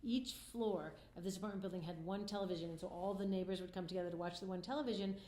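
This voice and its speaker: A woman's voice: lowish voice, very fast, warm tone. A middle-aged woman, a filmmaker, answering interview questions in an earnest, passionate, conversational way. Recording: roomy echo, faint background noise.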